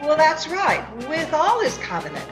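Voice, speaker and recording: A woman's voice: high voice, wildly swooping pitch, moderate pace. A woman acting as an eager, scheming young woman in a radio drama, recorded with echo over music. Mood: urgent, advising. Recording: echoey video-call recording.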